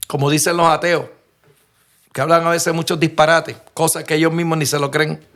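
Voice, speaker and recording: Puerto Rican preacher, fairly high voice. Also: male voice, level tone, a little fast, fairly bright tone. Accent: Puerto Rican Spanish. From Puerto Rico. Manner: earnest storytelling, frequent restarts and rhetorical questions. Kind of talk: sermon.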